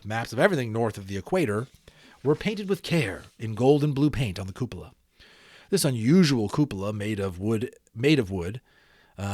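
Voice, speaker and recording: A man reading a children's story aloud in an expressive, theatrical manner, speaking directly to listeners. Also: mid-range voice, no room sound, studio quality. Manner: theatrical, engaging listeners with questions. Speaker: audiobook reader.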